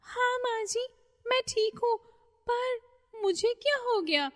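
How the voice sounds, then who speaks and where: A woman's voice: very high-pitched, takes it slowly, big-room sound, pitched above the performer's own voice, full character voice. A woman voicing a defiant, scheming young daughter-in-law in a cartoon story. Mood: sly. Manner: sharp, shouting.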